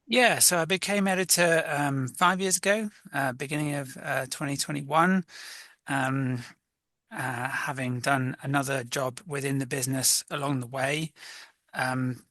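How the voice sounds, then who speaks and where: A man, a magazine editor, answers interview questions in a measured, thoughtful way, often pausing on "uh" and "um". His voice is bright and forward. The recording is dry and close, with almost no room sound. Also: mid-range voice, fairly even intonation, dry recording. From Wales. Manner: thoughtful, measured, with frequent fillers.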